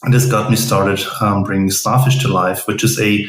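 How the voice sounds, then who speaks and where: A man with a German accent talks as a podcast guest. His voice sits fairly low and stays flat, with little rise and fall. Every word carries a roomy echo. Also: normal speed. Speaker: podcast guest, tech co-founder. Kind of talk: podcast interview.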